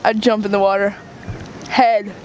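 A man's voice: high voice, level tone, a little slow, slight background noise, low-quality recording. A teenage boy teasing his friend in laid-back casual chat at the beach.

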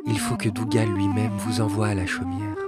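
A man's voice: fairly low pitch, level tone, moderate pace, close to an everyday voice. A man voicing an earnest young woman, anxious but firm, speaking with slightly raised, earnest explanation. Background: faint piano.

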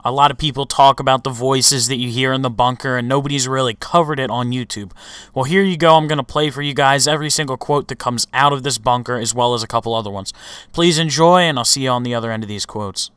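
A man's voice: medium pitch, quick pace, fairly bright tone, clear, resonant tone, no echo. A young man hosting a gaming video with casual, conversational commentary.

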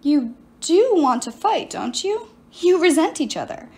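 A woman's voice: extremely high pitch, big pitch swings, unhurried, darkish tone, pitched above the performer's own voice, exaggerated voice. A woman voicing a scheming, vengeful ancient sorceress in an audiobook, theatrical and coaxing. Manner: coaxing, self-pitying, theatrical. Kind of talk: audiobook character voice.

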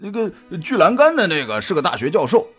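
A man playing a jovial, chatty private detective in an audio drama, talking colloquially with drawn-out hesitations in a husky voice. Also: sits high, wildly swooping pitch, heavily acted. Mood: chatty, animated. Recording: music behind the voice.

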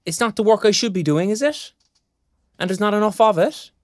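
An actor playing a frank, sardonic young Irish graduate in an audio drama, speaking colloquially. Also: male voice, sits high, expressive, brisk, a little mellow, dry recording, clean studio sound. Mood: indignant. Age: young adult. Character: young underemployed arts graduate, frank and wry. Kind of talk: naturalistic audio drama dialogue.

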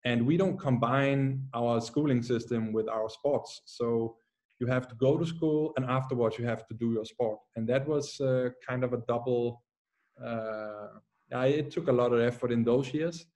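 A young man from Denmark with a Danish accent, a footballer answering interview questions calmly over a video call, pausing often. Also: mid-range voice. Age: young adult. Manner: calm, measured, with many "uh" pauses. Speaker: guest, professional footballer. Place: plain room at home.

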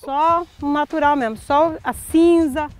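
A woman's voice: high-pitched, a little slow. A woman farmer answering questions in a field in an earnest, simple way. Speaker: organic strawberry farmer. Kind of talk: casual interview answers. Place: outdoors in a strawberry field.

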